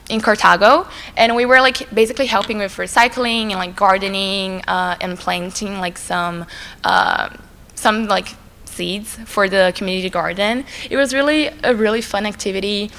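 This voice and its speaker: A young woman from Brazil, a native Portuguese speaker with a Brazilian Portuguese accent, giving a relaxed, chatty school presentation full of "like" fillers. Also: mid-range voice. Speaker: student presenter. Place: school assembly hall. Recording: long reverb in a large hall.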